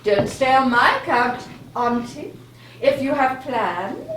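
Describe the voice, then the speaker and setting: A woman acting a proud, wry goddess of the hunt in a stage comedy. Her high voice moves freely in pitch and sounds distant in an echoey room. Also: very animated, slow, exaggerated voice. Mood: cool, polite. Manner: dry, pointed, needling. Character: wry, proud young huntress goddess, visiting niece. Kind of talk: live one-act stage comedy acting. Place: stage of a small playhouse. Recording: distant echoey theatre, clear background noise.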